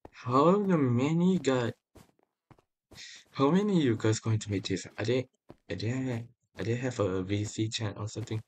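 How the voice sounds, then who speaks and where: A young man casually commenting on his own gameplay, excitable and talking to viewers, often repeating himself. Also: mid-range voice, unhurried, pauses often. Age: young adult. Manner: excitable, talks to viewers while playing, repeats phrases. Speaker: gaming channel host. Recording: loud background noise.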